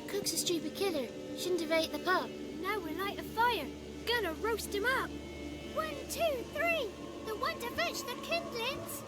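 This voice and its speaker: An actress playing a cheeky, blunt little peasant child in a video game, chanting and talking in rustic speech. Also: female voice, very high-pitched, measured pace, over loud music. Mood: gleeful chanting.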